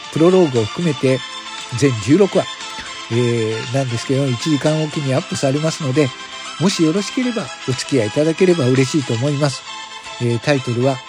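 A man hosting a podcast, making a casual announcement to listeners in polite, formal phrasing. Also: medium pitch. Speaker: podcast host.

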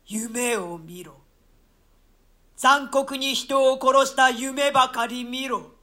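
The vengeful ghost of a murdered nobleman pronounces curses in a radio-play reading, voiced by a man. The delivery is very slow and solemn. The voice is very high and bright. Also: exaggerated voice. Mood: cursing.

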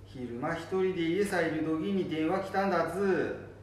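A man's voice: fairly high pitch, normal speed, natural voice. Far from the mic in an echoey room, a man plays a chatty old grandfather. He recounts things in Nambu dialect, as in a homemade radio play. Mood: chatty, recounting. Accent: Nambu dialect of northern Japan. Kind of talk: amateur radio drama acting. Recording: distant echoey room with faint noise.

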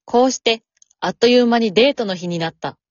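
A woman's voice: fairly high pitch, a little fast, a little mellow, no room sound, dull audio, natural voice. A woman reading scripted story narration for an amateur radio drama.

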